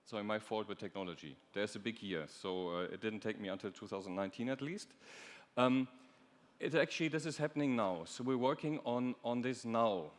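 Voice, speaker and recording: A man's voice: on the low side, brisk. A man giving a conference presentation as an official, hesitating and repeating words mid-sentence. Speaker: European Commission official, panelist. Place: large conference stage. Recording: echoey hall.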